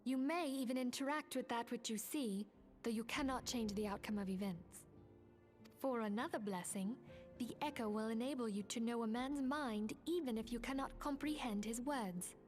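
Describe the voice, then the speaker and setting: A woman acts a composed young female leader from a fantasy video game, in a fairly high voice. She is gracious and formal, explaining with measured reassurance over faint music. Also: close to an everyday voice. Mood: calm, earnest.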